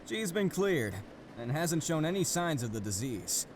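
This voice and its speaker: Impatient detective male actor, conversational and questioning, animated intonation, faint traffic-like ambience; audio drama. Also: fairly high pitch, measured pace. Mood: matter-of-fact. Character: impatient male police detective reporting in from the road. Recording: faint vehicle and ambient noise.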